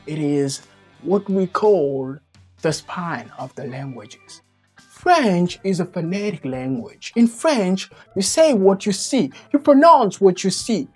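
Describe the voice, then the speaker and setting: A man teaching English in a slow, measured and emphatic way, recorded from a distance. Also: a little high, darkish tone, husky. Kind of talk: slow, deliberate lecture.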